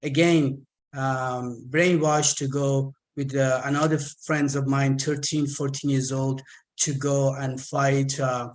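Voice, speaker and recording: A man in his fifties from Iran, speaking with an Iranian accent and halting, repeated words as he gives a personal talk as a guest speaker. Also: medium pitch, level tone. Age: 50s. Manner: halting, stammered repetitions.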